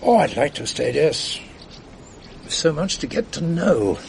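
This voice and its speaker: An actor playing an ageing, world-weary former British officer with an English accent and clipped, understated upper-class speech in a radio drama. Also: male voice, fairly high pitch, sing-song, a little slow, vocal fry, exaggerated voice. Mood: reminiscing. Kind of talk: period radio drama dialogue. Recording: noisy archive radio drama recording.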